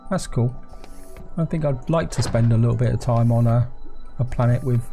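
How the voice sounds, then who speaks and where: Brief: male gameplay host, croaky with a cold, relaxed chatty commentary, middle pitch. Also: normal speed. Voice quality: croaky, gravelly voice. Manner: chatty, addresses viewers as guys. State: hoarse, sore throat. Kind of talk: casual let's-play commentary. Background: game music.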